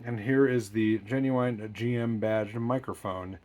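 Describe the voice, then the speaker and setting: A man's voice: fairly low pitch, fairly even intonation, unhurried, very noisy. A man presenting a casual hands-on demonstration in his garage, talking with dry, wry humor. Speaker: presenter, junkyard parts hobbyist. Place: home garage.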